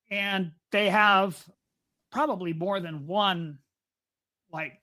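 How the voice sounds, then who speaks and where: A woman's voice: medium pitch, fairly bright tone. A woman co-hosting a podcast in casual discussion, explaining ideas at length and drifting into side topics. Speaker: podcast co-host.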